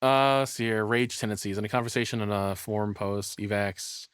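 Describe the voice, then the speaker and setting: A man's voice: fairly low pitch, steady tone, brisk, a bit bright, smooth, dry recording, clean studio sound. A man giving casual live reaction commentary as a streamer, blunt and profane, rambling through his opinions as he thinks aloud.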